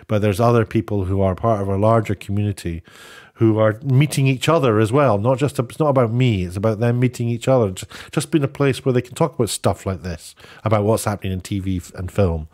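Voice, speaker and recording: Lowish voiced guy with the sniffles rambling his opinions to viewers, chatty, asks lots of rhetorical questions. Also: a little fast, darkish tone. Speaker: YouTube host, commentator. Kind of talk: casual opinion commentary to camera.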